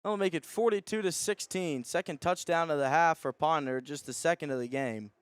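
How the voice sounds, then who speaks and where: A man calling live high school football as a radio play-by-play announcer. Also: brisk, clear, resonant tone, no echo.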